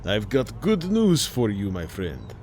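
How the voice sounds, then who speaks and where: A man's voice: on the low side, huge pitch swings, unhurried, darkish tone, a little hiss or hum. A man voicing a coaxing immigrant salvage boss in an audio story, dramatic and drawn out in his emphasis. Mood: jovial. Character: wheedling, gold-toothed salvage boss, male immigrant sailor.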